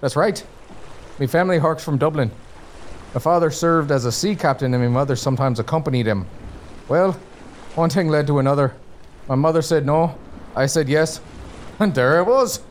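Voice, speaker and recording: A man's voice: on the high side, normal speed, long pauses, slight background noise, lightly acted. A man playing a hearty, food-loving, teasing sailor who talks colloquially in a comic audio drama. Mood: hearty, jolly. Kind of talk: gothic comedy audio drama dialogue.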